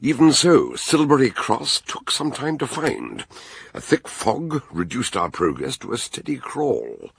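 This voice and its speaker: An actor playing an eccentric, learned British gentleman detective in a radio drama, husky and given to musing hesitations. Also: mid-range voice, huge pitch swings, unhurried, dry recording, full character voice. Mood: measured, narrating.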